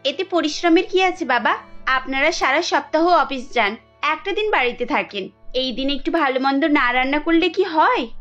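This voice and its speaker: A woman's voice: high-pitched, animated, normal speed, a bit bright, lo-fi sound, faint music behind. A woman voicing a young Bengali housewife in a family cartoon, with an emphatic, reproachful way of talking. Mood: gentle, dutiful. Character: young Bengali housewife Kajal, dutiful but quick to sulk and scold.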